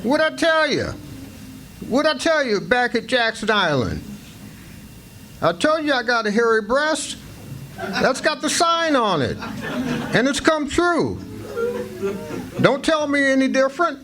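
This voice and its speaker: A man's voice: very high voice, very animated, a little slow, pauses often, bright, forceful tone, exaggerated voice, poor audio. An actor playing a folksy, superstitious man who insists he was right, in an old-time radio play. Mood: triumphant, insistent. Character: superstitious, vindicated runaway man, folksy and emphatic.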